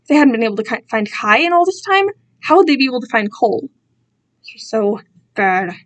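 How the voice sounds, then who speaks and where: A woman giving an amateur dramatic reading of a story, narrating in an expressive, emotive and informal manner. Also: a little high, brisk.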